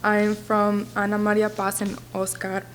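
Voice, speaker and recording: A woman's voice: mid-range voice, monotone, normal speed, slight background noise. A young woman, a student reciting a personal spoken word poem. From Buenos Aires, Argentina. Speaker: student performer.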